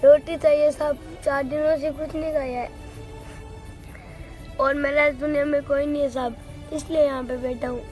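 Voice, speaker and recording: A man's voice: very high voice, flat delivery, normal speed, pauses often, darkish tone, soft background music, pitched above the performer's own voice, poor audio. A tiny whimpering orphan boy. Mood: pleading. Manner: whimpering, pleading. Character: hungry crying orphan boy, small and timid.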